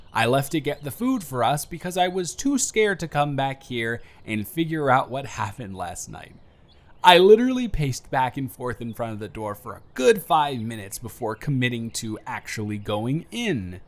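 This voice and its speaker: Man acting a nervous, expressive young confessor. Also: mid-range voice, lightly acted. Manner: rambling, emotional, halting and emphatic. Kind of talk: intimate scripted audio roleplay.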